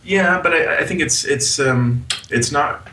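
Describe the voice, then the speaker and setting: A man's voice: a little high, a little slow, pushed and bright. A man co-hosting a movie podcast in casual chat, animatedly retelling scenes with relish. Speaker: podcast co-host.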